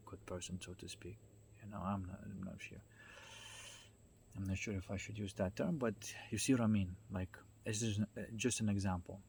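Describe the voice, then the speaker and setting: A man giving an informal, unscripted talk as a spiritual self-development speaker, halting as he searches for words and often checking in with the listener. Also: fairly low pitch. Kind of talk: informal unscripted explanatory monologue. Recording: noticeable background noise.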